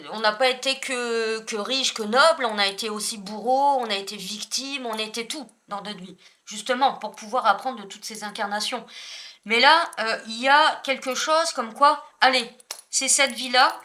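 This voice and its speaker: Bright-voiced French woman, rambling reading. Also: a little high. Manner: rambling, self-correcting, many fillers. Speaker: spiritual tarot reader. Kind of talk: casual spoken card reading.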